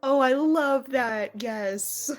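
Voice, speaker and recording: A woman narrating as game master of a tabletop roleplaying game, improvising descriptions in a measured, conversational way with pauses. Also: sits high, faint music behind.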